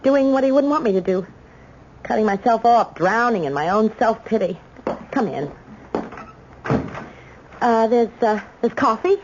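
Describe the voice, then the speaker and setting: An actress playing a devoted, sorrowful yet resolute young woman, halting and heartfelt, in a radio drama. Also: a little high, animated. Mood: subdued, sorrowful. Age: young adult. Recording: dull noisy old radio recording.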